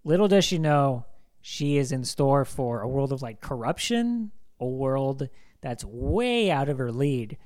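A man's voice: mid-range voice, expressive, measured pace, darkish tone. A young man co-hosting a casual film discussion podcast, talking with enthusiasm and rambling, filling his sentences with "like". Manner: enthusiastic, rambling, heavy use of "like". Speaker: podcast co-host.

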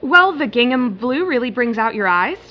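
A woman's voice: a little high, very animated, normal speed. A woman acting in an audio drama as a harried, polite but wry retail floor manager. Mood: polite, placating. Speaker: actor. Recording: muffled, noisy hidden-bug recording with shop ambience.

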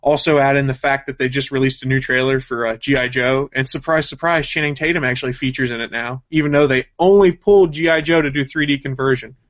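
A man's voice: mid-range voice, steady tone, fast, hardly any pauses, fairly bright tone. A man hosting a casual news chat show, talking in a jokey, informal way. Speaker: show host.

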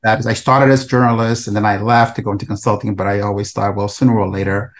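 Soft-trailing low-voiced man. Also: flat delivery, quick pace. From El Salvador. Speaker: author and researcher guest. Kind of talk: conversational interview answers.

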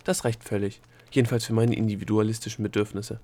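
A man voicing a prim, bureaucratic female thought-creature in a storybook, with a haughty, formal and measured manner. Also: fairly low pitch, flat delivery, dark tone, close to an everyday voice. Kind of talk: storybook character dialogue.

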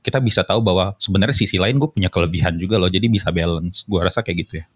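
A man's voice: low-pitched, rapid-fire, no echo. A man from West Sumatra with a Padang accent, talking easily about his own experience in a casual podcast chat. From West Sumatra, Indonesia.

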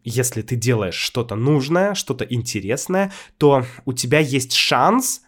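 Casting: young adult man, mid-pitch, reflective and unhurried, pausing to find his words. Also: animated. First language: Russian. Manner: thoughtful, hesitant, with many fillers and restarts. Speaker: Russian language teacher and YouTuber. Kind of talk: casual explanatory monologue.

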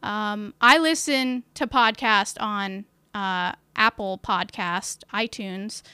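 Loud chatty woman podcasting live from home, bright crisp voice, dry close mic. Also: mid-range voice, slow, no room sound. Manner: chatty, upbeat, many fillers. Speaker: podcast host. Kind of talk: casual live-stream chat. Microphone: studio mic with portable recorder.

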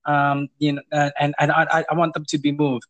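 A man's voice: fairly high pitch, monotone, brisk, muffled recording. A man working in property management, telling stories in a casual interview, hesitant with many fillers and restarts, chuckling now and then. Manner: amused, chuckles while telling.

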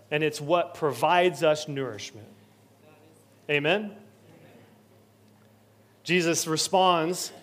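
Male pastor, higher-pitched, preaching with earnest building repetition. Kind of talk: sermon. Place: church sanctuary.

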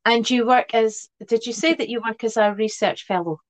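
A woman's voice: on the high side, normal speed. A woman co-hosting a podcast as a speech therapist, leading a conversational interview with friendly questions and small restarts.

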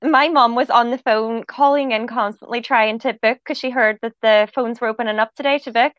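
Chatty Northern Irish woman, high voice. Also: steady tone, brisk, no room sound, muffled recording. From Northern Ireland. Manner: chatty, laughs often. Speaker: podcast co-host. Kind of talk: casual chat.

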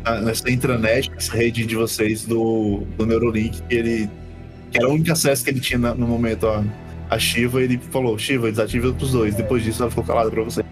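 A man's voice: mid-range voice, little pitch movement, fast talker. A man working as game master, casually improvising and explaining what happens in a tabletop session. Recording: music clearly behind.

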